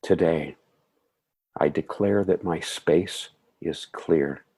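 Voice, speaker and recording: Deep, slow, husky male meditation guide. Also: warm tone. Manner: slow, soothing, measured phrasing.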